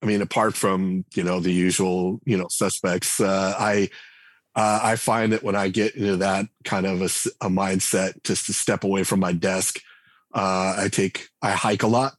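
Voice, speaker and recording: Interview guest, male, very low voice, loose and chatty, lots of "uh" and restarts. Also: moderate pace, dry recording. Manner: relaxed, thinks aloud with many fillers and restarts. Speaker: game writer and designer, guest. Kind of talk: casual interview conversation.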